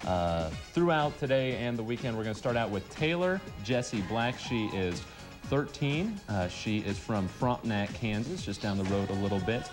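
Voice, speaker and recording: A man's voice: on the low side, expressive, moderate pace. A man anchoring a local morning newscast from a studio, reading items and bantering lightly. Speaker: news anchor and reporter. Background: music bed, director's cues talking over him.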